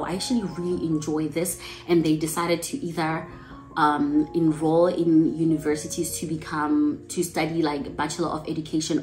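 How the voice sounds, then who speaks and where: Low-voiced South African woman vlogging. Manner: earnest, candid. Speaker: English teacher and vlogger. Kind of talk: casual talk to camera. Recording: echoey room with faint music.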